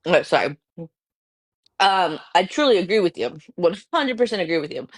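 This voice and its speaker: A lower-voiced woman explains herself in a casual online chat, earnest and a little defensive, with lots of "like" and restarts. The sound is close and dry, with no room echo. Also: lively intonation, moderate pace, husky. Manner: defensive, earnest, many fillers. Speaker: guest in live stream discussion. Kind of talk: casual live-stream chat.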